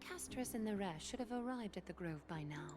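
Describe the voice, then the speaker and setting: A woman playing a dignified, gently commanding female leader in a video game, speaking in a measured, formal, breathy way. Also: mid-range voice, animated, loud music behind the voice, natural voice. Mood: gracious, composed. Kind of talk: anime-style game voice acting.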